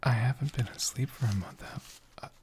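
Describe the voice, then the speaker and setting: A man voicing a sleepy, affectionate dragon shopkeeper in a soft, breathy, whispered ASMR roleplay, with a drowsy and gently formal way of talking. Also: medium pitch, brisk, a little hiss or hum, close to an everyday voice. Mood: groggy, surprised.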